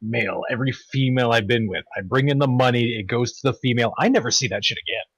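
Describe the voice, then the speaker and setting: A man's voice: medium pitch, fast talker, a bit bright, breathy edge, no room sound. A man chatting casually on a group live stream in a brash, sweary, mock-ranting manner. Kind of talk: casual chat.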